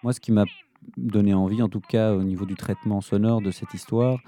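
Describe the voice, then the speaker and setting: A man talking in an interview about his sound and music work, in halting, hesitant phrases. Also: fairly low pitch, steady tone. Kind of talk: interview, explaining own work.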